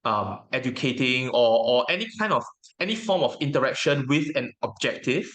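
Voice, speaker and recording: A man whose native language is Mandarin, giving casual interview answers as a guest in a reflective, hesitant way with frequent pauses. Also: mid-range voice, animated, fairly bright tone, poor audio. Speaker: interview guest, tabletop game educator.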